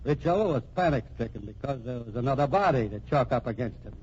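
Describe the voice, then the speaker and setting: A man's voice: mid-range voice, expressive, fast, a little mellow, slight background noise, close to an everyday voice. Casting: male actor for short-fused American homicide captain, hard-boiled, accusing, old radio style, muffled recording. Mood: accusing, sure. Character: tough, blunt, short-tempered police homicide captain. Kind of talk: old-time radio crime drama acting.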